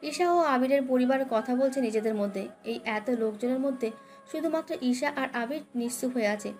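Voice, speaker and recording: A woman's voice: high-pitched, normal speed, a little mellow. A woman narrating a dramatic romance story as a scripted audio tale. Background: background music.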